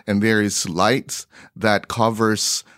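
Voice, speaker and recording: A middle-aged man with a Filipino accent telling stories as an interview guest, conversationally and a little haltingly as he searches for words. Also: lowish voice, flat delivery, a bit bright, no echo, studio quality. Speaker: guest missionary broadcaster.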